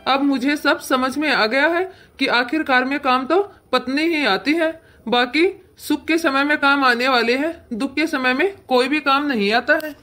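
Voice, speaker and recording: A woman's voice: sits high, fairly even intonation, normal speed, few pauses, pushed and bright, voice pushed down. A woman voicing a remorseful, ailing son and husband in a cartoon moral story, pleading plaintively and earnestly. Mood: apologetic, tender.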